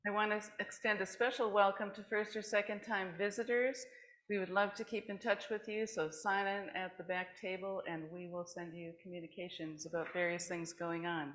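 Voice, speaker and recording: A woman's voice: medium pitch, little pitch movement, moderate pace, fairly bright tone, a little hiss or hum. An American woman leading a worship service, giving a welcome and announcements, partly read out. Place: indoor congregation meeting room. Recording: roomy, echoing meeting space.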